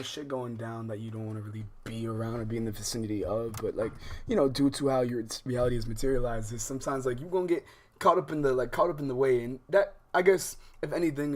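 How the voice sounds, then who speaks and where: A man with an American accent hosts a casual livestream in a medium-pitched voice. He speaks in heavy slang with plenty of swearing, wandering through asides as he addresses his viewers. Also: darkish tone, a little hiss or hum. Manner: slangy, profane, rambling with frequent asides. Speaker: livestream host.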